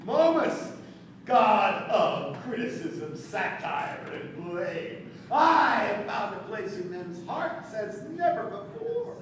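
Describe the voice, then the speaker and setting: An actor playing a mocking god of satire in a stage play, speaking in a grandiose, sardonic, declamatory way. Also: male voice, sits high, huge pitch swings, slow pace, full character voice. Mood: boastful, exultant. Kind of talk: projected live stage play acting. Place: theater stage, recorded from afar. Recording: distant, echoey theater recording with background noise.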